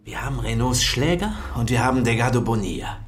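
An actor playing an eager, driven police detective in a crime radio drama, brisk and matter-of-fact. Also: male voice, medium pitch, expressive, faint music behind, natural voice. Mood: keen.

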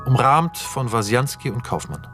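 A man reading screenplay directions as scripted narration, measured and matter-of-fact. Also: mid-range voice, very animated, a bit bright, faint music behind.

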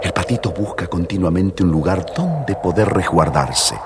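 A man's voice: low-pitched, lively intonation, normal speed. A man narrating a scripted children's story as the storyteller. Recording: faintly noisy vintage story record.

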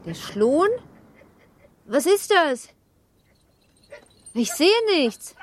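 An actress playing a naive, anxious young gentlewoman in a German radio drama, with a questioning manner. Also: high-pitched, big pitch swings, very slow, slight background noise, exaggerated voice. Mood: puzzled. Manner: wondering, questioning.

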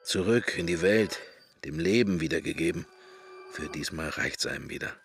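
A man's voice: low voice, animated, normal speed, gravelly, music in the background. An actor playing a pensive middle-aged man in a radio drama, musing to himself wryly and morbidly in short, broken fragments. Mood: morbid, brooding. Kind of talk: German radio drama, interior monologue.

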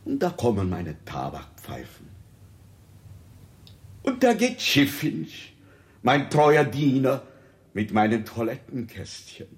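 A contemptuous, melancholic monarch in a 1960s radio play. He savours his words theatrically and slowly, the pitch rising and plunging with royal disdain. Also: very animated. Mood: wistful, stately. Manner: pompous, theatrical, savouring each phrase.

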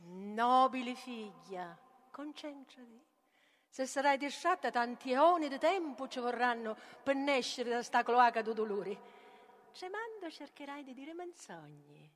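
An actress playing an intense, brooding tragic woman in a poetic theatre reading, theatrical and swinging between hushed and forceful. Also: high voice, expressive, measured pace. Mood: stern, warning. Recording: long hall reverb.

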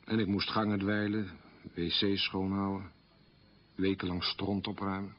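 A man's voice: deep voice, little pitch movement, a little slow, lo-fi sound, a little hiss or hum. An actor playing a proud, wounded man recalling a humiliating wartime childhood in a restrained radio monologue. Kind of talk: radio drama monologue, confessional storytelling.

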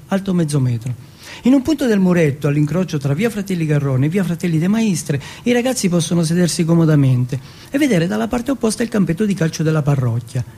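A man from Turin performing an autobiographical theatrical monologue, telling it in measured short phrases and lists. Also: a little high, warm tone, slightly rough. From Turin, Italy.

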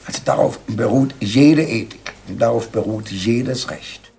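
A man making an earnest moral argument in emphatic, halting phrases with a gravelly voice, on an archive recording. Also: medium pitch, a little mellow. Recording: faintly noisy archive recording.

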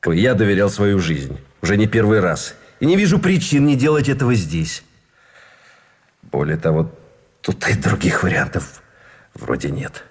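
An actor playing a cynical, fatalistic gambler officer in a radio drama, mocking and theatrical, with a husky voice. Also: male voice, fairly low pitch, big pitch swings, normal speed, heavily acted. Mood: sardonic. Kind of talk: Russian radio drama acting. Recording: faint music behind the voice.

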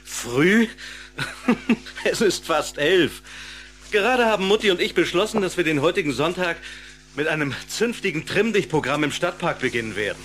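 Here is a sporty, cheerful father in a children's radio play. He teases emphatically in a fairly high male voice, over slight noise. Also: lively intonation, moderate pace, fairly bright tone. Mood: jovial, teasing. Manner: jovial, teasing, emphatic. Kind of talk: German children's audio drama acting.

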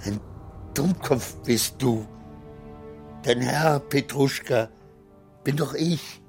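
A man's voice: medium pitch, slow pace, gravelly, darkish tone, soft background music. A man in a radio drama playing an anxious, self-berating petty clerk who mutters to himself and swings into outbursts. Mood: bewildered, indignant.

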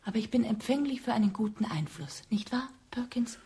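A woman's voice: a little high, animated, brisk, mellow. An actress playing a prim, affectedly refined young lady with a soulful streak in a German radio drama. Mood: soulful, yearning. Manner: prim, affectedly refined, often breathy whispering.

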